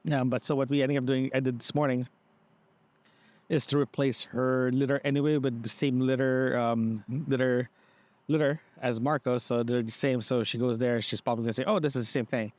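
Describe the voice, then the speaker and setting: A man with a medium-pitched voice talks through a casual audio diary. The sound is dry and free of echo, recorded outside in a park. He rambles haltingly, often doubling back and repeating his words as he goes. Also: fairly even intonation, darkish tone. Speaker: podcast host. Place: outdoors in a park.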